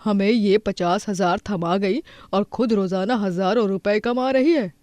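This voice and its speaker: A woman's voice: mid-range voice, measured pace, a little mellow, dry recording, close to an everyday voice. A woman voicing a resentful, scheming middle-aged village mother in a cartoon story, speaking with vindictive emphasis. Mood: bitter, scheming.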